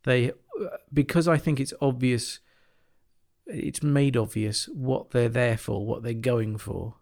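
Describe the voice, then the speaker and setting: A man giving his view in a casual review chat. His voice is mid-pitched with noticeable vocal fry, and he thinks aloud haltingly. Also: a little mellow. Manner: hesitant, thoughtful, halting. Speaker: podcast panellist.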